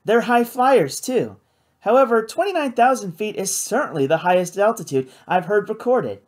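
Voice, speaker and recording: A narrator as a smug, pompous gentleman scientist. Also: mid-range voice, big pitch swings, unhurried, voice pushed up. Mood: smug, lecturing. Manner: pompous, condescending. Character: smug, patronising upper-class gentleman scientist.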